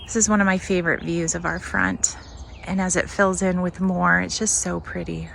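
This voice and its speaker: A woman's voice: fairly low pitch, level tone, moderate pace, gravelly, noisy background. A woman talking casually outdoors as she hosts a home garden tour, chatting and musing aloud as she looks around. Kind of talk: casual walk-and-talk vlog.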